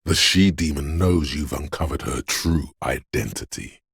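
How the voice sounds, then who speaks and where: A man voicing a paranoid, aggressive inner voice of primal fear in a video game, menacing, urgent and ominous, with a gravelly, husky sound. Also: rumbling low, a little slow, fairly bright tone, no room sound, clean studio sound, exaggerated voice. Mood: ominous, fearful. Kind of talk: video game voice acting, second-person dramatic narration.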